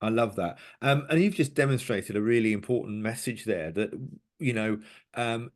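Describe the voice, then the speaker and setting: Man hosting, lower voice. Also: moderate pace. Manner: encouraging, affirming. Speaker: podcast host, interviewer. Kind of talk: podcast interview.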